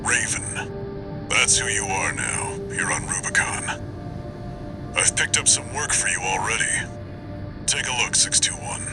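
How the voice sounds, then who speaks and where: A man voicing a gruff, terse, commanding mercenary handler in a video game. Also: lowish voice, animated, a little slow, pauses often, loud music behind the voice. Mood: brisk, businesslike. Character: gruff, curt male handler of an illegal mercenary. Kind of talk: video game acting, radio briefing.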